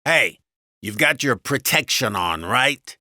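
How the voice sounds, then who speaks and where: Man acting a loud, belligerent, crude husband. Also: medium pitch, lively intonation, slow, dry recording, clean studio sound, pitched above the performer's own voice, exaggerated voice. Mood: brusque. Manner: loud, belligerent, sneering, swearing. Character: gruff, crude, domineering cigar-smoking husband.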